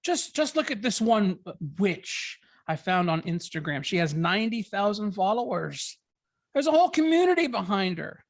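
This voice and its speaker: A woman's voice: medium pitch, sing-song, moderate pace, no echo. A woman playing a supportive, joking young boyfriend in a script table read, casual, hesitant and stammering. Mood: supportive, earnest.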